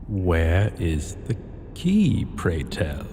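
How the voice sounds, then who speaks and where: In a long cavernous reverb, a man plays an arrogant, possessive dragon king for a fantasy roleplay. The voice is low and dark, with pompous, archaic phrasing that is drawn out very slowly. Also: huge pitch swings, slight background noise, exaggerated voice. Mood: haughty, intrigued. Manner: pompous, deliberate, archaic formal diction. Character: arrogant, possessive male dragon king, ancient hoard-keeper. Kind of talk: scripted fantasy ASMR roleplay.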